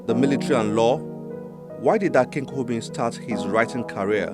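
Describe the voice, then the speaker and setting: Male presenter over clear background music. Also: mid-range voice, normal speed. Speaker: TV book show host. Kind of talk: scripted presenter narration. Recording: music behind the voice. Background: instrumental music.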